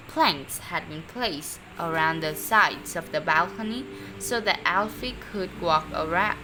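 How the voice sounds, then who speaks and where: A woman reading a children's story aloud expressively and deliberately, sometimes stumbling over words. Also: lowish voice, background noise. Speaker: storybook reader.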